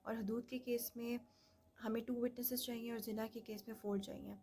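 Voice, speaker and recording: Pakistani woman lecturing in an even tone. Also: fairly high pitch, moderate pace, breathy edge. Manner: mixes Urdu with English legal terms. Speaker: law lecturer. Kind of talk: informal explanatory lecture.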